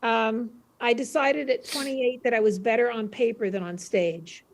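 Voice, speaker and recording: A woman's voice: fairly high pitch, fairly even intonation, moderate pace, a little hiss or hum. Chatty, playful older woman telling anecdotes. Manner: chatty, playful, self-deprecating. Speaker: panel guest, university professor. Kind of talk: casual anecdotal storytelling. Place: classroom. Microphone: video call.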